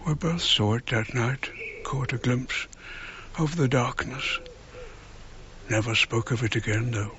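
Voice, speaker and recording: Actor as slow-spoken, haunted old archaeologist. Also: male voice, mid-range voice, noisy background, lightly acted. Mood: reflective, melancholy. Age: older. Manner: measured, halting, brooding delivery. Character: reflective elderly archaeologist, haunted and wistful. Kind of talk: radio drama monologue. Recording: dull radio drama recording, music behind.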